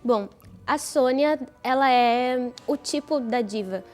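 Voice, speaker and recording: A woman's voice: on the high side, slow pace, a little mellow, soft background music. A woman with a Brazilian accent, a theatre performer talking reflectively in an interview.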